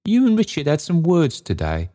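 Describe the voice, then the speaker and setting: A man voicing an elderly father beside himself with joy, exclaiming in a raised, excited voice. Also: a little high, normal speed, voice pushed up. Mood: tender.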